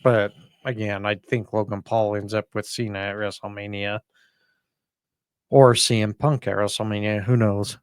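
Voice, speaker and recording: A man's voice: on the low side, flat delivery, normal speed, clear, resonant tone, no room sound. A man co-hosting a podcast in casual sports talk, rambling a little as he thinks aloud. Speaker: podcast co-host. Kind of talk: casual sports prediction chat.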